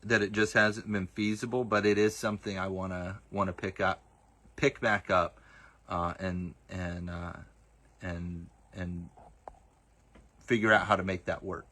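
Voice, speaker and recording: Man, soft Southern accent, halting livestream host, lower pitch, bright tone. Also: level tone. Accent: Southern US, partly masked. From Southern United States. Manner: hesitant, many fillers and restarts. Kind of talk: casual livestream Q and A.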